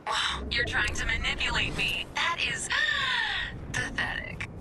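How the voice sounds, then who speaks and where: A woman's voice: a little high, very animated, takes it slowly, full character voice. A woman voicing a snarky female AI computer that talks down to humans with a sarcastic, cutting manner, in video game voice acting. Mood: snarky, scornful. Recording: game audio over music.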